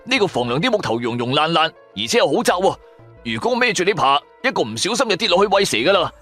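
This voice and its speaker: Male Cantonese audio-drama narrator. Theatrical, suspenseful, highly varied intonation, faint music bed. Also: big pitch swings, fast, sharp tone. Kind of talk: dramatic first-person storytelling narration of a horror adventure serial.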